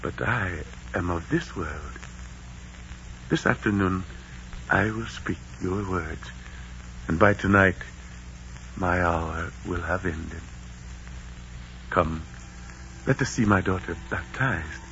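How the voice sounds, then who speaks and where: An actor playing a proud, sorrowful captive Aztec emperor, speaking formally in a 1940s radio drama. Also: male voice, sits low, takes it slowly, long pauses, a bit bright, full character voice. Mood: sorrowful, solemn. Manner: formal, declamatory. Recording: noisy, dull 1940s radio recording.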